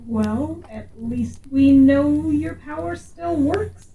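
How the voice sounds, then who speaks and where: A woman's voice: sits high, slow, warm tone. A woman playing a tabletop game, talking casually in character. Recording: roomy, distant mic, faint background noise.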